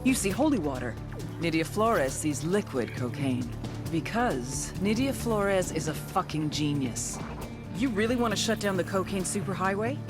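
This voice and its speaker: An actress playing a tough, sardonic intelligence agent briefing operatives in a game, wry and punchy, with cynical bite. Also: female voice, lowish voice, big pitch swings, moderate pace. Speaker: mission briefer. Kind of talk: scripted game cutscene narration. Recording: music bed under the voice.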